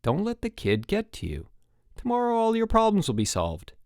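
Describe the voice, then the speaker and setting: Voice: mid-range voice, huge pitch swings, moderate pace, a little mellow, no echo, studio quality, pitched above the performer's own voice. Narrator as a reassuring, resolute head counselor. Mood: soothing. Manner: soothing, resolute. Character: calm, determined male head camp counselor, reassuring.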